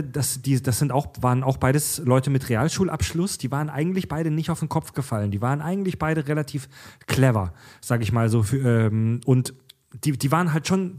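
A man's voice: medium pitch, a little mellow. A man co-hosting a casual podcast chat, blunt and heated, swearing freely as he talks. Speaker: podcast co-host.

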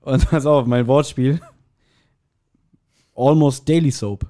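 A man's voice: medium pitch, slow, mellow. A man co-hosting a podcast in casual banter, rambling in a playful, self-mocking way. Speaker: podcast co-host.

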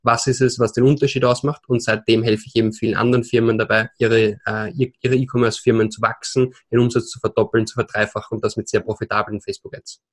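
Austrian man in his twenties, halting. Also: lowish voice, little pitch movement. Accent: Viennese Austrian German. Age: 20s. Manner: hesitant, many fillers and restarts, mixes in English words. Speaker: guest. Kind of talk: podcast interview.

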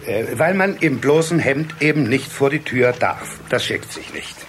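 An actor playing a reserved, proud water-sprite father with a stern edge in a German children's radio play, speaking with hesitant, measured authority. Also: medium pitch, animated, a little hiss or hum, natural voice. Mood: fatherly, indulgent.

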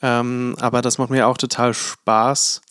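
A man's voice: medium pitch, level tone, measured pace, fairly bright tone, dry recording, studio quality. A man co-hosting a podcast, chatting casually in a rambling way with many hedging words. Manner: rambling, many fillers and hedges. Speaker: podcast co-host. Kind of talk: casual chat.

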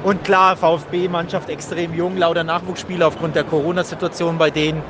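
A man's voice: on the high side, little pitch movement, normal speed. A man working as a football journalist, commenting casually on a match from the press stand. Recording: noisy stadium with loud crowd. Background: crowd noise.

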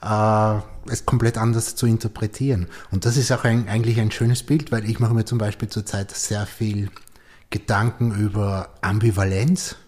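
Male podcast host, low and mellow, reflective, muses aloud and checks in with his guest. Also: little pitch movement, normal speed. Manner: thinks aloud, often ends with a questioning "oder?". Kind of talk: reflective conversational interview.

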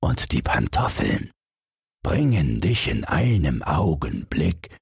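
Man voicing a slow, husky, cowardly giant. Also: very deep voice, very animated, no echo, clean studio sound, full character voice. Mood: reluctant, grave. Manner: slow, ponderous, plaintive. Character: big cowardly, gullible three-headed male giant. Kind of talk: storybook character voice.

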